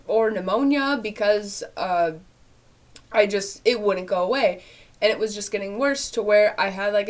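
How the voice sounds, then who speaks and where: A young woman with a nasal, congested voice, chatting casually to her webcam as she answers viewer questions at home. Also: fairly high pitch. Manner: chatty, rambling, frequent fillers and laughs. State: nasal and congested after illness. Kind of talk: casual vlog chat. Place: small bedroom at home, indoors.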